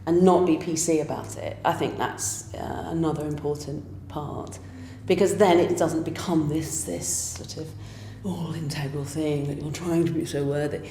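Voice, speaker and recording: A woman's voice: deep voice, steady tone, moderate pace, few pauses, warm tone, a little hiss or hum. A British woman, a pantomime writer and director, speaking emphatically and firmly in a casual interview. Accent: British English. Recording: roomy echo.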